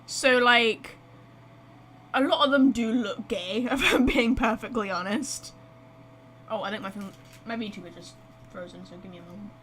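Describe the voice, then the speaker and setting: High-voiced, excitable woman game streamer. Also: moderate pace, long pauses, noisy background. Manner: rambling, excitable, blunt. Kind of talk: casual live reaction commentary.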